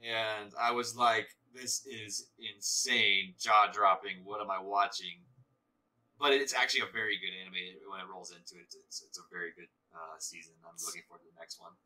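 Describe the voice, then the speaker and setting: A man co-hosting a gaming channel, chatting casually while he plays, with a slow, halting delivery full of restarts. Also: lowish voice, bright, forceful tone, poor audio.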